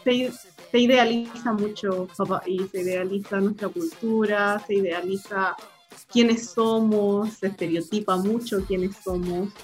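A woman's voice: medium pitch, unhurried, faint music behind. Young woman with a Chilean accent. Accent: Chilean Spanish. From born in Hawaii, raised in Chile. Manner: relaxed, laughs often, many fillers. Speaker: guest, filmmaker and film teacher. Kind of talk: casual interview chat. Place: remote interview from Hawaii.

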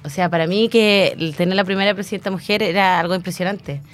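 A woman in her thirties with a Chilean accent, a guest talking through her story in a relaxed interview, pausing often with fillers. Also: medium pitch, faint music behind. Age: 30s. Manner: reflective, frequent hesitation fillers. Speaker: guest, newly elected council member. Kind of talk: casual interview conversation.

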